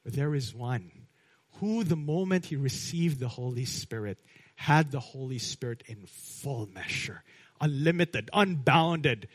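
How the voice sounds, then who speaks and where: Guy preaching a sermon, slow and measured, goes soft and low on the big lines. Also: animated, slightly rough. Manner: emphatic preaching, drops low and quiet at key lines. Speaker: pastor.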